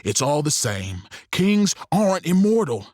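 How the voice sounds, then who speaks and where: An actor playing a world-weary, goading saloon owner in a western audio drama, with a folksy, speechifying manner. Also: male voice, fairly high pitch, very animated, a little slow, darkish tone, no echo, clean studio sound. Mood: bitter, defiant.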